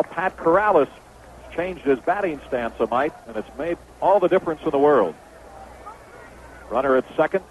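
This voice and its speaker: An American man calling a baseball game as a play-by-play announcer in 1970s radio style. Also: fairly high pitch. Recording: dull, noisy old AM radio broadcast.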